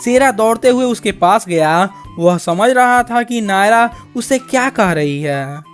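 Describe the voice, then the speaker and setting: A woman's voice: fairly high pitch, lively intonation, measured pace, soft background music. A woman reading scripted narration for a cartoon story.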